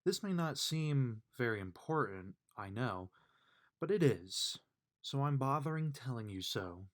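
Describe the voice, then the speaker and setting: Man reading a storybook, slow and very expressive. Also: mid-range voice. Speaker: storybook reader. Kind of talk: reading a rhyming children's bedtime book aloud.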